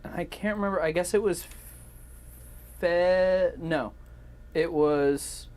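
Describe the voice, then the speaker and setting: A man's voice: sits high, slow, darkish tone. A man in a casual chat as co-host, speaking hesitantly as he thinks aloud.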